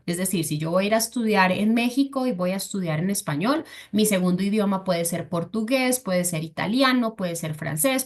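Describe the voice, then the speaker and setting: A woman from Colombia, presenting a webinar for a scholarship foundation and explaining step by step with frequent fillers. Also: mid-range voice. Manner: explains patiently, with filler "entonces" and "eh".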